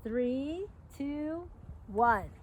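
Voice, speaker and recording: A middle-aged woman reads a picture book aloud to young children in an expressive, sing-song storytelling manner with chatty asides. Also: high voice, very slow. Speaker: park educator hosting a children's storytime. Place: outdoors by a fence at a trailhead. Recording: outdoor recording with background noise.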